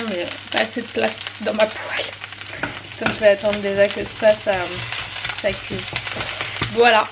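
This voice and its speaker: A woman's voice: fairly high pitch, background noise. Chatty young mother vlogging at home. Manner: chatty and rambling, many fillers and restarts. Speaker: family vlogger, mother of a newborn. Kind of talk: casual chat to camera. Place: at home, bedroom and kitchen.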